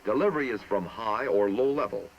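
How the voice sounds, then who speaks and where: A man narrating a military training film in a formal, measured, instructive manner, reading from a script. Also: on the low side, creaky. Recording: muffled, noisy old film soundtrack.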